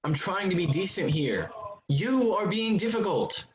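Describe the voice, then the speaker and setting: An actor in a staged drama reading, playing an intense, menacing older brother back from war who shifts between pleading and accusing. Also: male voice, high voice, sing-song, measured pace. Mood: accusing, bitter. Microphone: video call. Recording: muffled, noisy video call audio with clicks.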